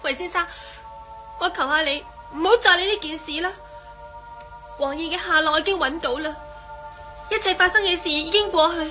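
A woman's voice: very high voice, normal speed, pauses often, pushed and bright, soft background music. A woman playing a frightened, secretive young lady in a radio drama, pleading and defensive in Hong Kong Cantonese. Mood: evasive, pleading.

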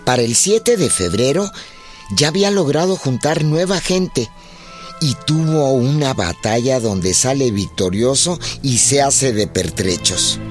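A man's voice: mid-range voice, lively intonation, unhurried, music in the background. A man delivering dramatic scripted historical narration for a radio play.

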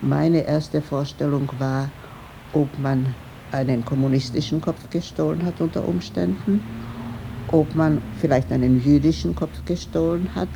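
Older female interviewee, very creaky voice, halting reminiscence, noisy location with traffic sounds. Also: sits low, fairly even intonation. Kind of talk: spontaneous reminiscence.

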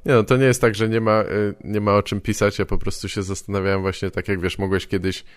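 A man's voice: fairly low pitch, flat delivery, fast talker, dry recording, clean studio sound. A man hosting a podcast in a casual, tentative way, hedging and trailing off into short tag questions. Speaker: podcast host. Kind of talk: casual conversational podcast talk.

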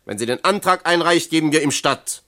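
An actor playing an evasive East German works official, measured and condescending, in a German radio play. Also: male voice, a little high, expressive, a bit bright. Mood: firm, official.

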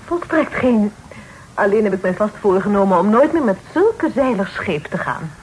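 An actress playing an outspoken, tart young daughter of a genteel family in a period radio play. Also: female voice, mid-range voice, sing-song, normal speed, darkish tone, lightly acted, poor audio. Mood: tartly polite. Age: young adult. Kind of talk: period radio drama acting. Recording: old radio recording with faint noise.

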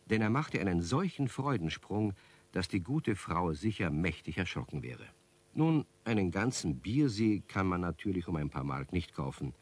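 A storyteller narrates a children's radio play. His voice is very low and husky, and the scripted telling moves with lively intonation. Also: full character voice.

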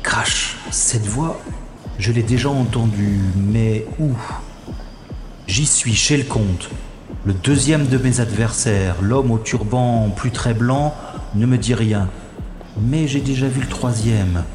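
A man's voice: mid-range voice, takes it slowly, lightly acted. A man playing a cocky, wry special agent who narrates his own scrapes theatrically in a science-fiction audio drama. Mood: wary, cocky. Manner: theatrical, slangy, self-narrating. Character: wry, cocky male special agent, talking himself through trouble. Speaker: lead actor in an audio drama. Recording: music under the voice. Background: music.

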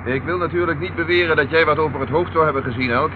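An actor playing a brisk, wry, commanding police inspector in an old radio drama. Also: male voice, on the high side, lightly acted. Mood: musing. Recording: dull, noisy old radio recording.

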